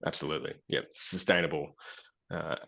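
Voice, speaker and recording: A man's voice: very deep voice, fairly even intonation, brisk, vocal fry, sharp tone, dull audio. A man in his thirties, a guest on a video call, talking through ideas thoughtfully with many fillers and restarts. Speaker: guest, business founder. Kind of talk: relaxed conversational interview. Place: small plain room indoors.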